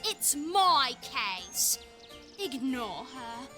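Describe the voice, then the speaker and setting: An actress playing a haughty, fussy rich heiress in an audio drama, with an affected lilting voice. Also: female voice, high-pitched, very animated, drawn-out words, loud music behind the voice, exaggerated voice. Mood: indignant.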